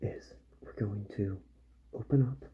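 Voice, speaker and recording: A man doing a soft-spoken ASMR roleplay as a calm, methodical repair doctor, musing gently to himself and often drifting into a whisper. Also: lowish voice, unhurried. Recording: muffled audio with faint background noise.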